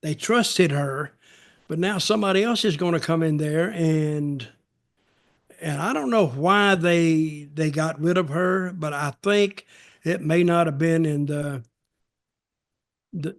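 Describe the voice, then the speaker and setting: An older man chatting casually as a podcast co-host, giving opinions in a halting way with repeated, restarted phrases. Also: a little high. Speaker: podcast co-host and wrestling veteran.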